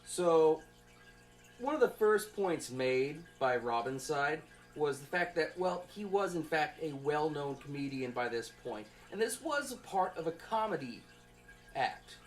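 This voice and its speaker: A young man giving an informal student presentation from notes, in a halting, conversational way. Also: fairly high pitch, animated. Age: young adult.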